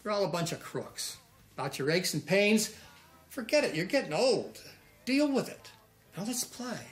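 Sardonic older American man, swooping pitch. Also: expressive, normal speed, faint music behind. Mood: irritated, sardonic. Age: 60s. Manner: blunt, sardonic. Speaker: actor. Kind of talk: naturalistic film dialogue.

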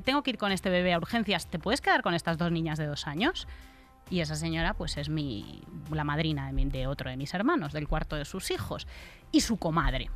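A woman in her forties with a medium-pitched voice and an accent from Spain. She talks as a podcast host, frank and articulate, telling things with opinion. Faint music sits behind her close studio mic. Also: fairly bright tone. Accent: Spain Spanish. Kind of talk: opinionated storytelling podcast chat. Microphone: close studio microphone on arm.

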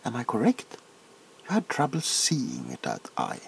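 A middle-aged man playing a nervous, bewildered, awkwardly polite doctor in a soft-spoken comedic ASMR roleplay, stammering and repeating himself. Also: mid-range voice, huge pitch swings, measured pace, vocal fry, a little hiss or hum, heavily acted, poor audio. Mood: hesitant. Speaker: ASMR performer.

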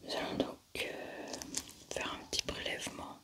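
A young adult woman in a hushed, unhurried ASMR roleplay, methodically narrating each step as a scientist. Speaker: ASMR creator playing an alien autopsy scientist.

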